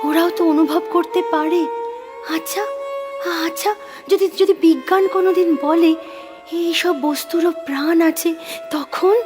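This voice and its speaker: An actress playing a fragile, poetic, troubled young woman in an audio drama, brooding and intense, with halting repeated words. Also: very high-pitched. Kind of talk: Bengali audio drama acting.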